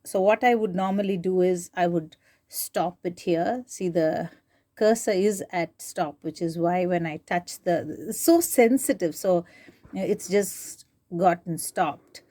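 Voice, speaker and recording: Female tutorial presenter, slow and very mellow, unscripted, thinking aloud step by step. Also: lowish voice. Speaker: tutorial presenter demonstrating tape digitizing. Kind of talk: informal unscripted how-to demonstration.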